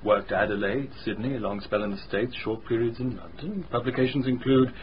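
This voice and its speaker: Casting: male actor as plain-spoken researcher, medium pitch, dull-sounding radio drama with faint noise. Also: a little fast, natural voice. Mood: absorbed, matter-of-fact.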